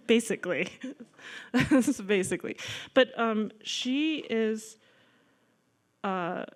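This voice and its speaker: A woman's voice: on the high side, expressive, drawn-out words, long pauses. A woman in her thirties, from the United States, giving a casual slide-show talk about her paintings, chatty and self-deprecating with many likes and ums. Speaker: guest artist lecturer. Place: lecture room.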